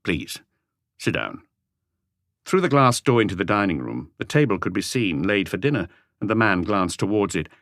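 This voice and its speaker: A man's voice: on the low side, expressive, fairly quick, fairly bright tone, dry recording. A man reading scripted narration as the narrator of a mystery audiobook.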